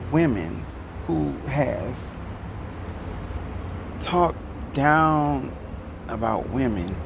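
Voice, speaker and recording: Man, Detroit accent, gravelly fry, heated rambling vlog rant, loud traffic behind. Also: medium pitch. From Detroit, Michigan. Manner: heated, rambling, talks to the camera. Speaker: vlogger.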